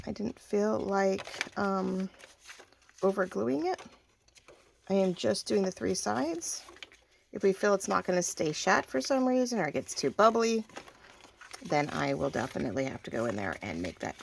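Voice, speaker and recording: A woman hosting a casual crafting tutorial, chatty and thinking aloud as she works, slightly hoarse and often clearing her throat. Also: mid-range voice, slight background noise. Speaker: craft video host.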